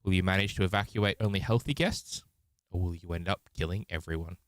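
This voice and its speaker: A man's voice: deep voice, brisk, no echo, clean studio sound. A man hosting a casual game live stream, with a theatrical, showman-like way of talking.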